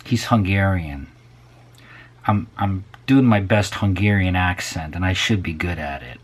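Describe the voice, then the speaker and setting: Low, husky man, slow and animated. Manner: musing, often drops to a near whisper. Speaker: vlog host. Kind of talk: casual rambling monologue to viewers.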